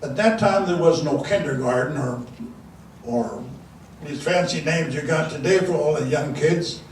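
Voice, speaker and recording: A man's voice: a little high, distant mic. Older man telling stories in an echoey hall. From South Dakota. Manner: folksy, halting with many restarts. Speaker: guest speaker. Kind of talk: storytelling talk. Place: large meeting room. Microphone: handheld mic. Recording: echoey room, mic from afar, faint hiss.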